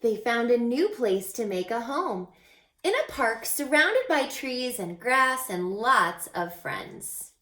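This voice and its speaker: A woman reads a picture book aloud like a teacher with young children. Her voice sits a little high and she takes her time, delivering the story expressively with pauses for questions. The sound is noticeably roomy and echoing. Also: sing-song, bright, forceful tone.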